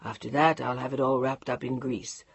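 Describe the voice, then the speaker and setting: A quiet, controlling husband with a voice as solid as steel, voiced low by a woman reader. The tone is husky and level, recorded close in a dry space. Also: steady tone, normal speed, dry recording, lo-fi sound. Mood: firm. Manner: low, firm, unbending.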